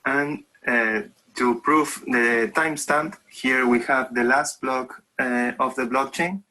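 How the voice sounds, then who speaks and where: Bright-voiced man. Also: medium pitch, slow, low-quality recording. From Argentina. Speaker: father presenting a video. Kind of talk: home video narration.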